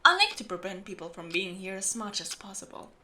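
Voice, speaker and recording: Woman acting a bright-voiced, patient clock repairer. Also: mid-range voice, very animated, brisk, slight background noise. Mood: patient, focused. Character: meticulous, secretive steampunk clock repairer woman, patient explainer. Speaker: amateur voice actor. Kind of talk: audio roleplay, speaking to the listener.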